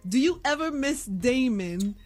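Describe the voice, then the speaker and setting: A woman's voice: sits high, expressive, a little slow, a little mellow. A woman hosting a radio show, chatting casually on a studio microphone, playful and teasing with her guest. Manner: playful, teasing, laughs often. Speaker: radio host.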